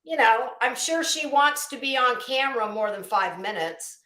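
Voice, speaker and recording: A woman's voice: on the high side, expressive, moderate pace, bright, forceful tone, reverberant, poor audio. A woman hosting casual, critical commentary, blunt, emphatic and scornful in the way she talks. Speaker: YouTube commentary show host.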